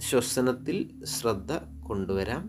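A man with a Malayali accent guiding sleep hypnosis meditation, soothing and unhurried, pausing between short phrases over relaxing music. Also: medium pitch. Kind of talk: guided sleep hypnosis narration. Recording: relaxing music with singing bowls behind.

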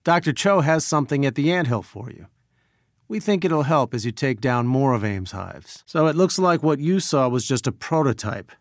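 An actor playing an earnest, brainy scientist and weary resistance leader, giving articulate, measured mission briefings in a video game. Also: male voice, mid-range voice, expressive, no echo, clean studio sound, lightly acted. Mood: friendly, businesslike.

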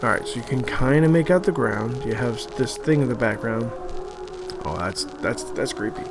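A man giving casual, halting commentary as he thinks aloud over a screen recording, often trailing off. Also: medium pitch, lively intonation, creaky, music in the background. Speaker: host of an art-making video series.

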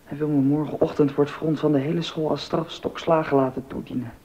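Highish-voiced, aggrieved young choir prefect played by a man. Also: fairly even intonation, fast, warm tone, a little hiss or hum, lightly acted. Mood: aggrieved, defiant. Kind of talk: Dutch docudrama acting. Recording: dull, lo-fi sound.

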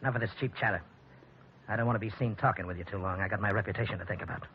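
Casting: an insolent, menacing hoodlum in a 1940s radio crime drama, slangy, dull lo-fi sound. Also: male voice, steady tone, rapid-fire, breathy edge. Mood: threatening. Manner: slangy tough talk, menacing. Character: sneering, insolent male gangster in flashy rings. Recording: dull vintage radio recording with rumble.

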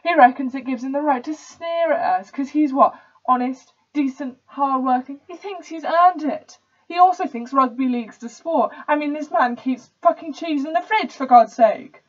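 An arrogant young upper-class Englishman rants with sneering indignation, acted out by a young woman. The voice is very high, in a posh put-on accent. It is a stage monologue on a slightly dull, lo-fi recording. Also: normal speed, recorded from a distance, natural voice. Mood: sneering scorn. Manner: sneering, indignant, sweary ranting. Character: arrogant posh young male club member, sneering and enraged. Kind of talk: dramatic stage monologue reading.

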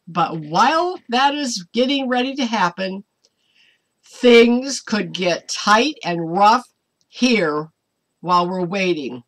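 An American woman in her seventies preaching informally to camera at home, earnest and exhortatory in a deliberate way. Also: medium pitch, animated. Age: 70s. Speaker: online ministry preacher. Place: small cluttered home room, indoors.

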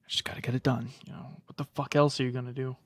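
A man's voice: medium pitch, flat delivery, very fast, lightly acted. A man playing a weary, defensive struggling musician in an audio drama, hesitant and stammering. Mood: dismayed, resigned. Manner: hesitant, stammering, sighing resignation. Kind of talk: naturalistic audio drama dialogue.

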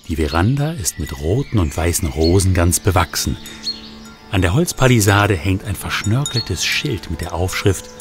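A man narrating a radio play in a calm storytelling manner, with music behind him. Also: deep voice, slightly rough, dry recording.

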